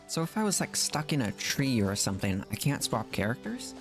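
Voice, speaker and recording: Male game streamer, lively swings in pitch, casual self-talk over game music. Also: a little fast. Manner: talking to himself, reacting to the game. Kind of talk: casual live gameplay commentary.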